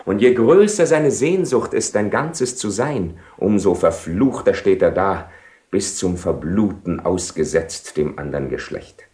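An actor playing a trapped, bitterly resigned husband in a radio play, speaking in a brooding, rhetorical, ironic manner. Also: male voice, low-pitched, expressive, unhurried, darkish tone. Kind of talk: 1970 radio play acting.

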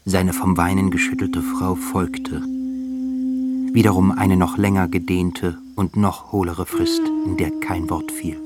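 An actor as the grave narrator of a radio drama, reading scripted literary prose. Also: male voice, sits low, little pitch movement, a little slow. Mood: grave, measured.

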